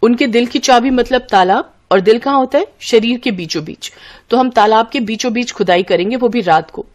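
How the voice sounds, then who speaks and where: A woman's voice: on the high side, normal speed, natural voice. A woman voicing a clever, confident young elder sister from a village in a scripted story. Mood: excited, assured.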